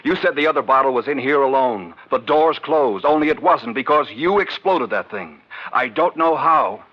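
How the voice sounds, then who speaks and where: A man's voice: mid-range voice, lively intonation, normal speed, close to an everyday voice. A man playing a blunt, sceptical colleague with a sardonic, accusing manner in a 1950s radio drama. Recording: muffled vintage radio recording.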